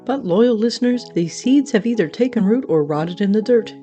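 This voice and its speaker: A woman's voice: fairly high pitch, lively intonation, normal speed, dark tone, highs cut off, faint music behind, lightly acted. A woman playing a wry, grandiose otherworldly radio host, narrating an audio drama in an arch, florid, dramatic way. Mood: grandly announcing.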